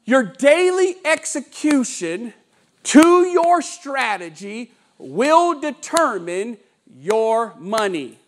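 A man in his forties giving a motivational sales training talk to an office room, with dramatic pauses and storytelling, on a lapel mic while he walks and gestures. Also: very high-pitched, sing-song. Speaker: sales coach.

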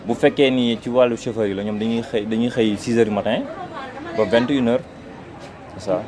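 A young man working with the bus service, explaining in a relaxed way in a street interview inside a bus, speaking into a handheld mic. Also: medium pitch, brisk, a crowd in the background. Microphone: handheld reporter mic.

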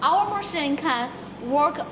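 A woman's voice: sits high, lively intonation, slow pace. A young woman guiding viewers through a factory floor and warehouse, explaining each step and pointing things out. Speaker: factory tour guide. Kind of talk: guided factory walkthrough. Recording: echoey factory hall with loud background noise.

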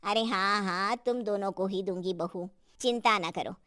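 A woman's voice: medium pitch, moderate pace, a bit bright, no room sound, studio quality, natural voice. A woman playing a kindly, indulgent elderly mother-in-law in a cartoon, soothing and accommodating. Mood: obliging.

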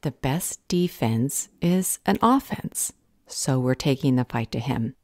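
A woman playing a firm, determined leader in an audio roleplay drama, stern and measured. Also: rumbling low, expressive, darkish tone, dry recording, clean studio sound, exaggerated voice. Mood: resolute. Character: firm, determined woman leading a fight against a villain.

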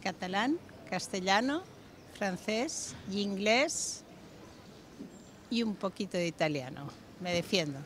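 A woman's voice: mid-range voice, expressive, takes it slowly, long pauses, a little hiss or hum. An older woman with an Andalusian accent, answering a street interview outdoors in a matter-of-fact way. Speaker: passerby. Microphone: handheld mic.

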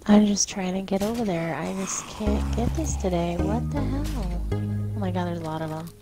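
A woman's voice: mid-range voice, moderate pace. A woman chatting casually as she co-hosts a co-op video game session. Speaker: gaming video co-host. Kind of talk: casual co-op gameplay chat. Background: music.

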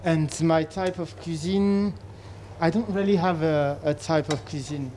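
Young man with a mellow voice. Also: a little high, fairly even intonation, measured pace, slight background noise. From Haiti. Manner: asks short, curious questions. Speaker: presenter. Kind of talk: interviewing on a cooking show. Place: large teaching kitchen studio.

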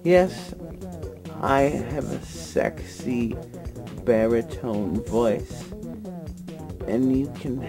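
A sleepy young man lying on a floor, talking in a drowsy, rambling, deadpan way into a nearby webcam. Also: medium pitch, very slow, long pauses, over music. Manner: drowsy, mumbling, deadpan with sudden laughs. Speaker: vlogger. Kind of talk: rambling late-night webcam monologue. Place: lying on a floor in a room indoors.

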